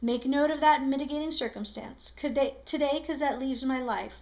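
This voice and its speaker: A woman voicing a calm, solemn old man who was once a convict, speaking slow, low and quiet.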